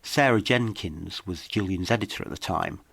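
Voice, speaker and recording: Low-voiced man narrating a mystery. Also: vocal fry, a bit bright, dry recording. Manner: measured, dramatic storytelling. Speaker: documentary podcast narrator.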